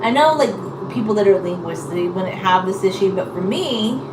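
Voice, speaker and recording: A woman's voice: mid-range voice, expressive, normal speed. Woman chatting casually in a noisy, echoey room. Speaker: live-streamer chatting with viewers. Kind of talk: casual rambling chat. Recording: echoey room, distant mic, loud background noise.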